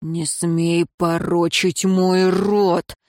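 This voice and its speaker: A woman voicing a furious, jealous male prison warden, slow and growling in a dry recording. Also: mid-range voice, dry recording, lightly acted. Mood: low menacing.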